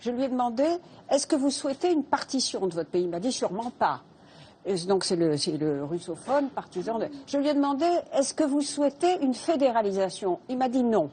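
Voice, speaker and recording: An older woman speaking as a guest in a televised debate, measured and hesitant, with frequent fillers as she builds her argument. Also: a little high, lively intonation, a little hiss or hum. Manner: measured, hesitant with many fillers, soft laughing start.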